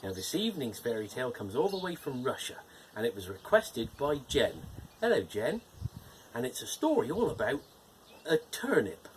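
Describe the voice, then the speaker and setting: A man's voice: mid-range voice, moderate pace, a little hiss or hum, low-quality recording. A man playing a cheerful, playful male gnome who hosts and reads a bedtime fairytale. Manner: animated, playful storytelling with teasing jokes. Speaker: storyteller host.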